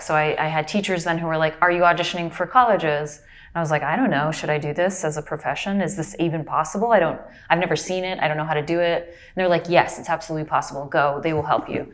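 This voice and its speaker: A woman from Brazil whose first language is Portuguese, an actor and audiobook narrator talking about her career in a casual interview with a reflective, storytelling manner. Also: low voice.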